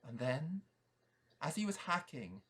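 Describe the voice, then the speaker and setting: Slow-paced man telling a fairy tale. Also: on the high side, sing-song, a bit bright, breathy edge. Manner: dramatic, repetitive, deliberate emphasis. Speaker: priest telling a story.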